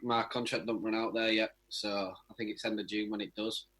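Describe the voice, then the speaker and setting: A young man, a footballer, giving casual interview answers in a halting way as he searches for words. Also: fairly low pitch, flat delivery, a bit bright. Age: young adult.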